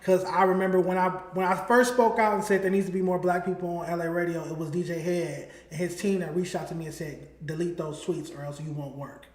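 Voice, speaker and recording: A man with a high-pitched voice makes his case in a heated, emphatic way. The sound is echoey and roomy. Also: steady tone, fast talker, few pauses. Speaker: podcast host and hip-hop critic. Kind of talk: opinionated casual talk. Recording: roomy echo.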